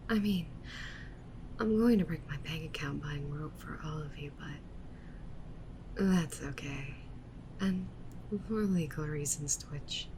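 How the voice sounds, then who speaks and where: A woman playing a yandere witch VTuber in an ASMR livestream roleplay, talking softly and haltingly to her chat, sweetly menacing and self-justifying. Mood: playful, teasing. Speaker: streamer. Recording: noisy with low rumble.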